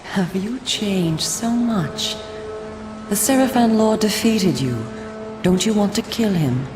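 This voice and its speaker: An actress voicing a solemn, coolly persuasive female vampire resistance agent in a video game, speaking in a formal, measured, theatrical way. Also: mid-range voice, lively intonation, echoey room, over music. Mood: goading. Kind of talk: scripted video game cutscene acting.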